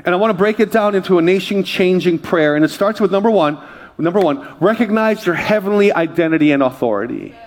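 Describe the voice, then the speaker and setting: A man's voice: high voice, fast. A male pastor preaching a sermon with energy, reading scripture aloud and calling out to the congregation. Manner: energetic preaching with crowd call-outs.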